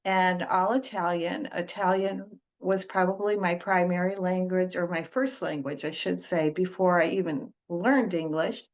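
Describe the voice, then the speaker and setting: An older woman from Detroit, a guest in an interview, talking in a slow, halting way with many fillers and restarts. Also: fairly low pitch, fairly even intonation. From Detroit, United States. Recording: dull lo-fi audio.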